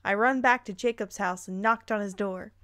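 A woman's voice: mid-range voice, normal speed, fairly bright tone. A woman hosting a dramatic read-aloud of fan fiction, breaking in with playful, incredulous commentary. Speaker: host reading and reacting to fan fiction.

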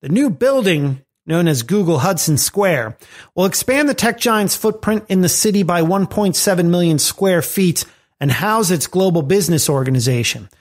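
A man's voice: sits high, expressive, moderate pace. A man hosting a podcast, reading scripted commentary with wry asides. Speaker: podcast host. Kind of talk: scripted commentary on tech and music.

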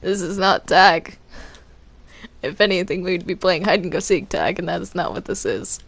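Flustered gravelly-voiced young woman gamer. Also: on the low side, moderate pace. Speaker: roleplay player. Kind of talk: casual in-character roleplay chat.

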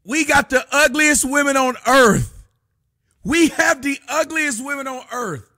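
A man, hosting a live stream from home and mocking the clips he shows in loud, preachy rants. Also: extremely high pitch, a little slow. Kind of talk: ranting commentary on live streams. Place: home room with a fireplace.

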